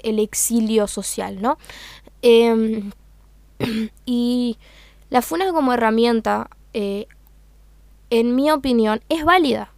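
Uruguayan girl thinking out loud, kinda high voice, lots of vocal fry, keeps pausing for words. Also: no room sound. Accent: Uruguayan Spanish. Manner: hesitant, searching for words with many fillers. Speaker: meme page creator. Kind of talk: casual reflective monologue.

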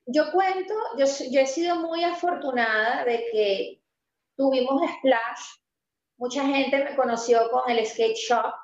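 High-voiced animated woman in a roomy space. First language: Spanish. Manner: animated and emphatic, stressing points slowly, laughs easily. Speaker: interview guest, pastry entrepreneur. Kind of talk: casual conversational interview. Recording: roomy, slightly dull sound.

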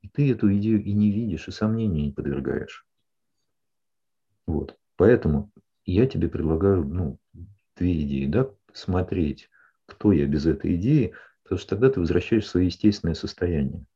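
A man with a deep, warm and husky voice counsels a client in a steady, explanatory way. He keeps pausing to check that she follows. The sound is a little dull and lo-fi. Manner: calm, explanatory, often checks in with "да?". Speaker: psychologist. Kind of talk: counseling session.

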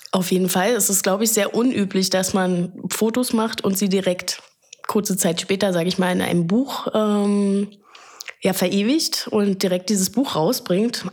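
Woman, thirties, chatty, earnest, lots of fillers, mid-pitched voice. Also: steady tone, normal speed, darkish tone, slightly rough. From Berlin, Germany. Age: 30s. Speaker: photographer and guest. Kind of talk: casual interview talk.

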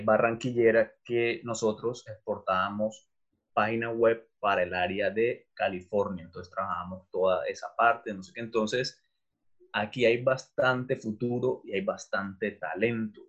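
A fairly low-pitched middle-aged man from Venezuela, speaking as an interviewed teacher in an easygoing, thinking-aloud way. He often pauses on fillers and restarts his sentences. The recording sounds dull and lo-fi. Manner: thoughtful, many fillers and restarts. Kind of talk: casual interview.

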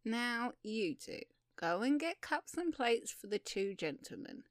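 A woman's voice: fairly high pitch, big pitch swings, measured pace, no room sound, clean studio sound. A woman voicing a bossy mother with revolvers in a story, giving harsh, clipped commands. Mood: motherly, bossy.

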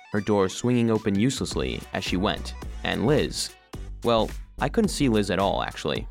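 A self-deprecating little household robot tells his own story for young listeners. His voice sits fairly low, with a gloomy, wry storytelling manner. Soft music runs underneath. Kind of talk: children's audio drama narration.

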